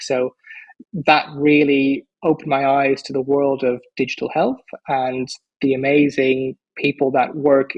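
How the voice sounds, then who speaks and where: A man's voice: medium pitch, husky, highs cut off. A man around forty from the Netherlands, a physician speaking as a podcast guest in an articulate, enthusiastic way. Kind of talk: podcast interview.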